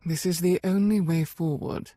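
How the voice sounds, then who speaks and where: An actress playing a stern, perceptive and dismissive Sith lord in a video game, with a terse, authoritative manner. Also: female voice, fairly low pitch, fairly even intonation, normal speed, warm tone, no echo, clean studio sound, faint music behind, close to an everyday voice. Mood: focused. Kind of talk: video game cutscene acting.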